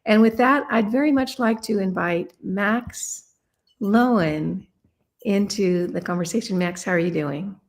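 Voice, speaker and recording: A woman's voice: mid-range voice, measured pace. A woman hosting a livestreamed interview, talking in a friendly, conversational way. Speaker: host.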